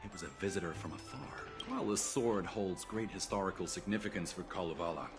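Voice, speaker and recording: Game envoy: courteous, eager, proud of home, lower register with big melodic swings. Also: male voice. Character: earnest, excitable foreign trade envoy, proud of his homeland. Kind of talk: video game character dialogue. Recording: music behind the voice.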